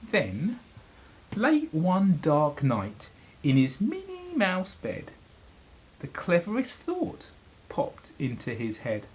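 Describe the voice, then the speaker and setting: Slow, animated man reading a storybook. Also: sits high, breathy edge, rough recording. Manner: dramatic, with deliberate pauses. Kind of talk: expressive children's storybook narration.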